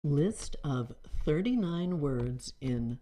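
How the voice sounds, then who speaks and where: A woman narrating an early reader for young children, in deliberate, simple phrases. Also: deep voice, expressive, dark tone. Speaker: audiobook narrator. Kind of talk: slow read-aloud of a children's early reader.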